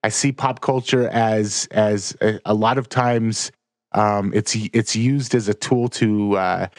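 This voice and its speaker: A man's voice: fairly low pitch, fairly even intonation, slow, no room sound, studio quality. An American man hosting a podcast, asking questions in a conversational way with frequent fillers. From United States. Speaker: podcast host. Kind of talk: casual interview questions.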